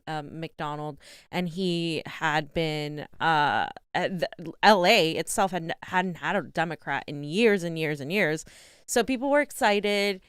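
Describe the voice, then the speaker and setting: Clear-voiced, low-pitched female co-host. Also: a bit bright, no room sound, studio quality. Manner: teasing and matter-of-fact, explaining context plainly. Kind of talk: casual live-stream chat.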